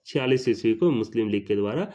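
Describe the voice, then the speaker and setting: A man teaching history for an exam. He reads each question, then repeats it and its answer, and he calls out each question number higher and louder. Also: animated, quick pace.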